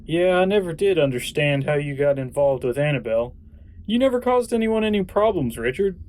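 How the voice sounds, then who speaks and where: An actor in an audio drama playing a defiant hero with a sarcastic, incredulous manner. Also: male voice, a little high, lively intonation, brisk, darkish tone, slight background noise, lightly acted. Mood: reproachful.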